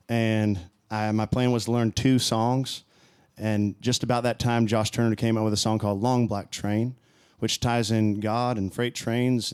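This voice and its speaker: A man's voice: on the low side, darkish tone, smooth. A man in his thirties from Florida, a country musician chatting easily in an interview and telling stories with light laughs. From High Springs, Florida, US. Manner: easygoing storyteller with light laughs. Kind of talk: casual interview chat.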